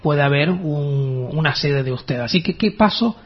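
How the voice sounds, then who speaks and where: Chatty radio host, husky. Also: male voice, a little high, animated, a little slow. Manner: chatty, with many restarts and tag questions. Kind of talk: radio interview. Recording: dull lo-fi radio audio.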